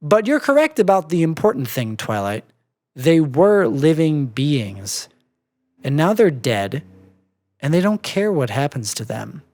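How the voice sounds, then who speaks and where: A story reader voicing a calm, matter-of-fact female cartoon pony, measured and reasonable as she explains. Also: medium pitch.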